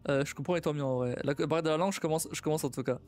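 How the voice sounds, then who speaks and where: A man's voice: fairly high pitch, darkish tone, soft background music. A young man chatting casually on a live stream, stumbling and repeating himself as he thinks aloud. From Portuguese family. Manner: halting, many repeats and restarts, laughs often.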